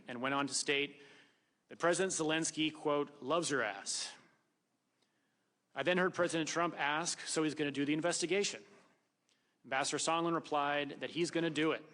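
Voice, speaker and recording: Bright, higher-pitched man testifying in echoing room. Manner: formal, precise. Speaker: witness. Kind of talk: prepared testimony read aloud. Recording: reverberant hearing room.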